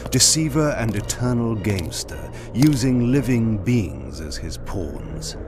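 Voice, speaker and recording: An actor voicing a proud, menacing aristocratic vampire who narrates a video game with grandiose, sardonic, measured delivery. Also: male voice, medium pitch, expressive, natural voice. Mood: scornful. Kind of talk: theatrical game cutscene narration. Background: loud music.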